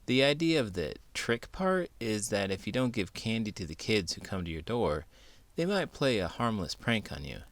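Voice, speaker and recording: A man hosting a children's read-aloud, speaking in an expressive, playful storytelling style. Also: on the low side, normal speed, no echo. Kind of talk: lively children's storytelling and chat.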